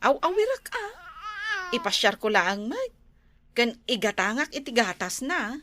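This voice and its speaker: A woman playing a soft-hearted, baby-doting younger village woman in an Ilocano comedy drama, cooing and pleading. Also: on the high side, sing-song, a little slow, no room sound, heavily acted.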